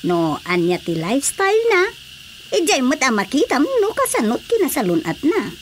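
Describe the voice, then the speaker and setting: A woman with an Ilocano accent playing an agreeable, animated villager in a radio drama comedy. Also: high-pitched, moderate pace. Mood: agreeable, amused. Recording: faintly noisy radio drama track.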